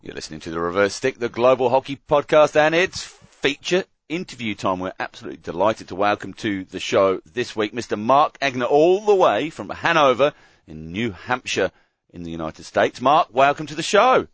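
A man's voice: medium pitch, sing-song, normal speed, fairly bright tone, dry recording. A man hosting a podcast, chatty and jokey, who sometimes loses his thread. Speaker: podcast host. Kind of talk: casual podcast chat. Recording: dry, slightly dull sound.

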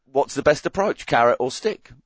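An Australian man co-hosting a casual podcast chat, ranting in animated mock disgust. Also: medium pitch, normal speed, no echo, highs cut off. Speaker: podcast co-host.

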